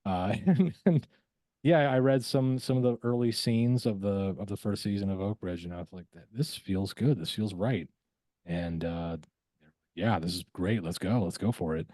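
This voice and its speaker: Low, mellow-voiced man talking reflectively. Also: dry recording. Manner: halting, reflective, repeats words. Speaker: voice actor guest. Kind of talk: casual podcast interview.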